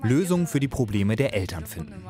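A male reporter narrates a regional TV news piece with a lively, rising and falling delivery. His measured, informative script runs over clearly audible background music. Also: on the low side.

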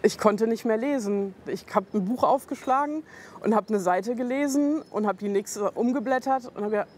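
A woman's voice: on the high side, normal speed, a little mellow. Earnest woman talking in a car, faint engine hum behind. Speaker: interviewee. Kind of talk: personal interview.

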